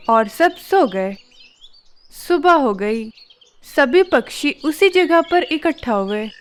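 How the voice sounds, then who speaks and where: A woman narrating a children's cartoon story in a calm, even manner. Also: high-pitched.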